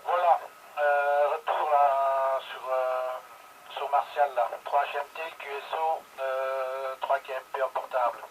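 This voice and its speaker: A man's voice: mid-range voice, fairly even intonation, slow pace. A man chatting casually and hesitantly over amateur radio, rambling as he goes. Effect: radio transmission. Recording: distant, noisy amateur radio transmission.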